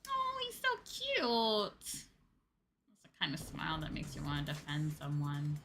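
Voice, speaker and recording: A woman's voice: low-pitched, big pitch swings, unhurried, vocal fry, fairly bright tone. A woman streaming a video game with casual live commentary, playful, gushing and teasing. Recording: game music behind.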